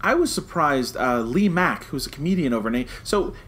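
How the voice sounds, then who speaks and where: An American man co-hosting a podcast in casual, animated fan chat that slips into mock quotes. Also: fairly high pitch, fairly quick. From United States. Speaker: podcast co-host.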